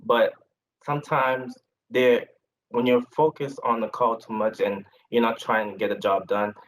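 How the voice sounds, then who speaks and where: A young man from Haiti speaking as a panelist on a video call, earnest and measured. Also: mid-range voice, flat delivery, rough recording. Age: young adult. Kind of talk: virtual panel discussion.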